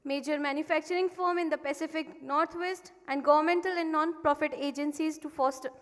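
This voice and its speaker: High-voiced woman emceeing in a hall. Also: steady tone, fairly quick. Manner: formal, slightly stumbling read. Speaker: conference emcee. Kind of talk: reading a speaker introduction. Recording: long hall reverb.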